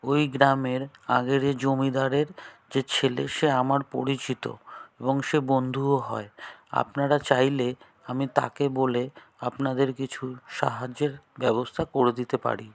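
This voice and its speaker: A man's voice: mid-range voice, level tone, measured pace, gravelly. A man playing a kindly, polite young landlord in a cartoon story, speaking earnestly. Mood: helpful. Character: kindly young landlord gentleman in disguise, concerned and helpful.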